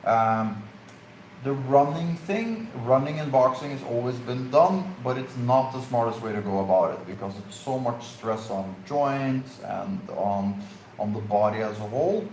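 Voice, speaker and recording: Male stream co-host, casual and halting, echoey room. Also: mid-range voice, animated, darkish tone. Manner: halting, searching for words with fillers. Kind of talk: casual Q&A chat. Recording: echoey room, faint noise.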